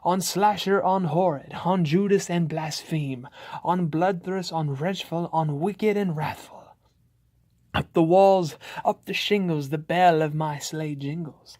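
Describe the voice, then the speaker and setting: A man's voice: a little high, measured pace, darkish tone, natural voice. A man voicing a sadistic Christmas demon who commands his beasts in a theatrical, menacing way. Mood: gloating command.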